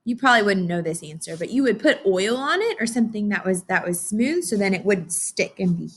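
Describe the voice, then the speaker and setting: A woman's voice: mid-range voice, lively intonation, brisk, slightly rough. A woman teaching young children in an interactive read-aloud lesson, encouraging and instructional, often putting questions to her listeners.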